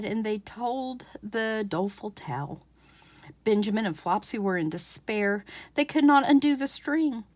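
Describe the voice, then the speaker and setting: A woman reading a children's storybook aloud as a library storyteller, with expressive, dramatic emphasis. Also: mid-range voice, dry recording.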